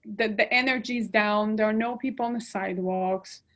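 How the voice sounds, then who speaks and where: A woman's voice: mid-range voice, normal speed. A woman in her thirties from Poland, speaking English, chatting casually and listing details expressively. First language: Polish. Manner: expressive, lists details, frequent "like" fillers. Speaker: guest. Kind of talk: casual chat.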